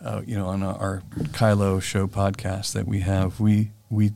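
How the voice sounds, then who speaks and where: A man's voice: fairly low pitch, completely flat delivery, unhurried, gravelly, warm tone, slight background noise. A man speaking as an author and guest on a podcast, talking in an earnest, reflective way with frequent fillers. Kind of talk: conversational interview.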